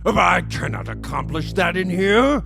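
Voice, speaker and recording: A pompous sea-mad zealot, gravelly and high. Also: male voice, lively intonation, normal speed. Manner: grandiose, theatrical outbursts. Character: pompous delusional man obsessed with the sea. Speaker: patient in therapy. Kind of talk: comedic audio drama acting.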